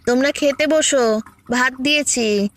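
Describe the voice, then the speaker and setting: An actress playing a village mother in an animated horror story, speaking in a slow, drawn-out way. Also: sits high. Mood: caring. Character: tired village mother, later cold and withdrawn.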